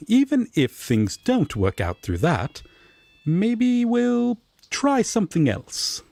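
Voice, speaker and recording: A man playing a gentle, earnest angel roommate in an intimate roleplay audio, with soft, breathy, courteous and careful speech. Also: high voice, sing-song, slow pace, dry recording, heavily acted. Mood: encouraging. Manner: formal, courteous, tender, careful.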